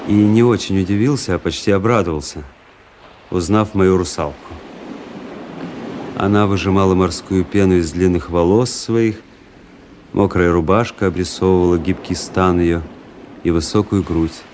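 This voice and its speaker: Low-voiced intense officer played by an actor, narrating. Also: male voice, darkish tone, slight background noise, close to an everyday voice. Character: intense, introspective young officer recounting his adventure. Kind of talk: dramatic first-person narration, radio play. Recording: radio play with music underneath. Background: music.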